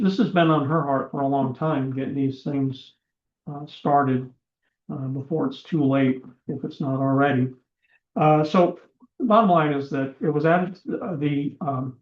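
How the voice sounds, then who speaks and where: A man chairing a town commission meeting, his voice going hoarse, talking haltingly with many fillers. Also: fairly high pitch. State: losing his voice. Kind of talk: meeting proceedings. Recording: echoey room, dull lo-fi sound.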